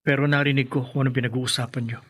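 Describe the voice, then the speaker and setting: A troubled younger brother in a radio drama. His medium-pitched voice is husky, and his delivery is timid and hesitant. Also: level tone, quick pace, a bit bright. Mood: troubled, resolved.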